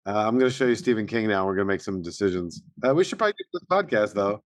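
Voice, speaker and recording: A man's voice: fairly low pitch, expressive, racing. A man co-hosting a podcast with wry, bantering casual talk. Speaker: podcast co-host. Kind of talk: casual chat.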